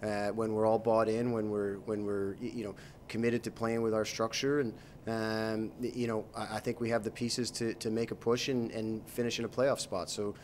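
A man in his thirties, a hockey coach, answering post-game questions in a measured way, with many fillers and restarts, into a handheld mic. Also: on the low side, flat delivery, darkish tone, slight background noise. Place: arena interview backdrop, indoors. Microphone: handheld mic held by interviewer.

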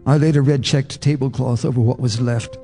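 A man narrating in the first person for a radio drama, measured and grave. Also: mid-range voice, steady tone, mellow. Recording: radio drama with faint music underneath.

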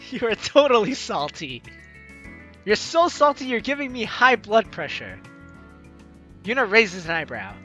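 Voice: medium pitch, very animated, a little slow, long pauses, pushed and bright. A let's play host reading visual novel lines aloud and reacting in an exclaiming, giggly way. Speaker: gaming video host. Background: game music.

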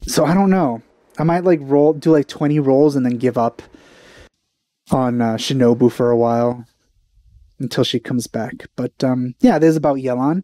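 A man's voice: medium pitch, moderate pace, warm tone, dry recording. A young man giving casual reaction commentary as a video host, hesitant and meandering, into a headset mic.

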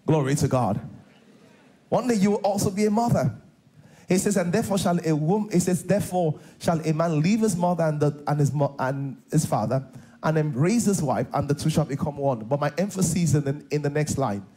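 Nigerian pastor preaching in a mellow voice. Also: male voice, a little high, brisk. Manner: repetitive, call-and-response preaching. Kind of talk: sermon. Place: large church stage before a crowd.

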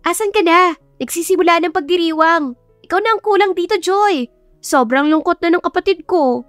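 A woman's voice: very high voice, moderate pace, fairly bright tone, no echo, faint music behind, voice pushed up. A woman voicing a cheerful young female classmate who coaxes and pleads insistently in an audio drama. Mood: guilt-tripping, pleading.

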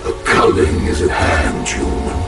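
A man playing a cold, imperious rogue artificial intelligence with contempt for humans, deep and gravelly through a robotic effect, in a video game cutscene. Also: far from the mic, loud music behind the voice, full character voice. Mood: menacing, gloating. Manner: imperious, deliberate, theatrical. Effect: robotic processed voice.

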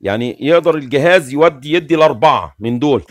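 Egyptian guy in his 40s talking fast on his stream, kinda high voice, waves his hands around, super dry close sound. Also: dry recording. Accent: Egyptian Arabic. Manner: animated, gestures constantly, explains insistently. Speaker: livestream host. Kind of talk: casual livestream talk. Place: small room with curtains, indoors.

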